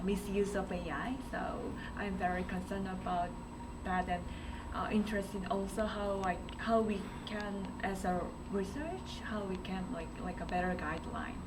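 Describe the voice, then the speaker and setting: A young woman speaking informally to a group, hesitant and searching for her words. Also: mid-range voice. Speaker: PhD student introducing herself. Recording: noisy room, distant mic.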